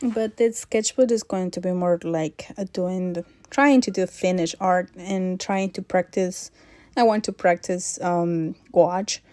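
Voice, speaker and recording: Slow, soft-spoken woman with an accent. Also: on the low side, dry recording. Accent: non-native English accent. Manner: hesitant, many fillers, soft laughs. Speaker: art vlogger. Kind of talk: casual sketchbook vlog chat.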